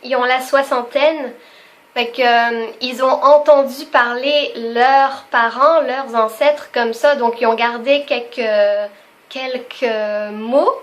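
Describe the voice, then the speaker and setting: A young woman chatting spontaneously in a casual vlog, laughing often and filling pauses. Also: high-pitched, fairly even intonation. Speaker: vlogger. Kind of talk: casual unscripted video chat.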